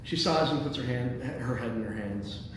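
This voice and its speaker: A man's voice: mid-range voice, moderate pace. Male workshop host, mid-range, thinking aloud with stumbles and repeats; distant mic in a noisy, very echoey hall. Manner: hesitant, repeats words while thinking aloud. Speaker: host and stage-direction reader of a screenplay table read. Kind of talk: reading action lines and giving feedback to a group.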